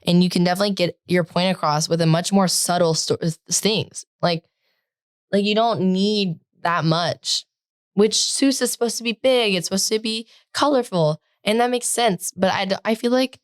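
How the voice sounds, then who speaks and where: A teenage girl co-hosting a podcast in casual chat, talking loudly and rambling with lots of "like" fillers. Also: medium pitch, moderate pace, smooth, no echo, clean studio sound. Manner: loud, projecting, rambling with many "like" fillers. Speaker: podcast co-host.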